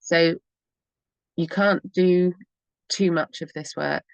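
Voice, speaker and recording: A woman talks in a low, encouraging voice as a radio host, rambling along and often laughing. She is recorded dry and close to the mic. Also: fairly even intonation, slow pace, gravelly, no echo, highs cut off. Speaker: radio show host and EFT therapist. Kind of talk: casual spoken advice on a talk radio show.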